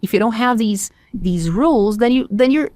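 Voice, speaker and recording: A woman's voice: on the high side, lively intonation, normal speed, a little mellow, no echo. A woman from Brazil, a native Portuguese speaker with a slight Brazilian accent, talking casually and emphatically as an English teacher in a discussion.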